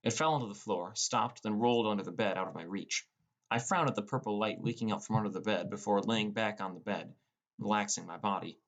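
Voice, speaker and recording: A man reading scripted first-person fantasy fiction as an audiobook narrator. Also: lowish voice.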